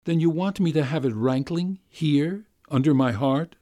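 A man reading aloud voices a weak, irresolute middle-aged gentleman who speaks in a soft, subdued, ingratiating way and keeps pleading. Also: normal speed, no echo, clean studio sound, natural voice. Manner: pleading, rising to high plaintive appeals.

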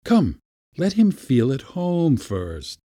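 A man's voice: mid-range voice, big pitch swings, unhurried, darkish tone, breathy edge, no room sound, clean studio sound. A man voicing a pompous, short-tempered Latin schoolmaster in a storybook reading, scolding and giving orders. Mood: commanding. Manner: scolding, commanding, ranting. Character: irascible Latin schoolmaster uncle, pompous and furious.

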